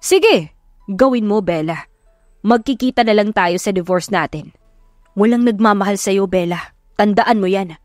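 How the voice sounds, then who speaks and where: A woman's voice: medium pitch, unhurried, pauses often, no echo, clean studio sound, lightly acted. A woman voicing a cruel, deceitful husband in an audio love drama, scornful and taunting. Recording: music behind the voice.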